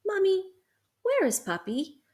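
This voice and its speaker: A woman's voice: very high voice, wildly swooping pitch, measured pace, darkish tone, voice pushed up, full character voice. A woman voicing a hopeful little boy looking for his father in a storybook read-aloud. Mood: earnest.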